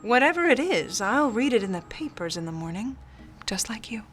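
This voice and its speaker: An actress playing a cunning, sardonic 1940s socialite film star in a noir radio play, with haughty, formal diction. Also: female voice, a little high, sing-song, moderate pace, a bit bright, faint music behind. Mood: coolly amused.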